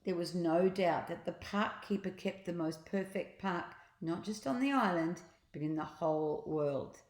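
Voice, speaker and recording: A woman storyteller with a slightly low voice takes her time through a children's book. She reads theatrically and chats to listeners in asides. The room around her echoes. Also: measured pace, a little mellow. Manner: theatrical, acts out sound effects. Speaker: storyteller reading children's books aloud. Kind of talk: expressive read-aloud narration with asides to listeners.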